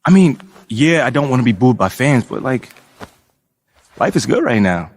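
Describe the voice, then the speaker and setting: A man's voice: mid-range voice, very animated, moderate pace, in a large hall. An actor playing a young male basketball player, earnest and laid-back, talking in casual slang. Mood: easygoing. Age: young adult. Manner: easygoing, slangy. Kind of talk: casual cutscene dialogue.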